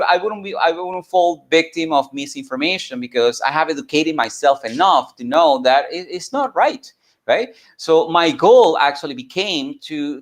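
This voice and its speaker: A man from Venezuela, a native Spanish speaker with a Venezuelan accent, telling his story informally as an entrepreneur and mentor, in an earnest, halting way that repeats phrases. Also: fairly high pitch, a bit bright. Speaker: entrepreneur and mentor giving a talk.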